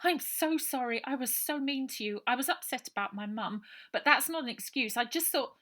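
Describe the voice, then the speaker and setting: A woman's voice: sits high, moderate pace, a bit bright, voice pushed up. A woman voicing an anxious, earnest young girl in a 1930s children's story. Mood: apologetic, upset.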